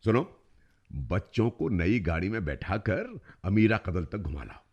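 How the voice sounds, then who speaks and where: An actor playing a wealthy family patriarch in a radio play, blustery yet easily cowed, with a husky voice. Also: male voice, fairly low pitch, sing-song, unhurried, muffled recording, heavily acted. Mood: bossy, generous.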